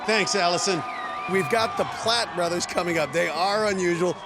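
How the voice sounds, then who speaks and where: A man calling a televised obstacle course competition as play-by-play commentator, getting excited and shouting at big moments. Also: sits high, normal speed. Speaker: sports commentator. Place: outdoor event with loud crowd and music. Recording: crowd and music loud behind.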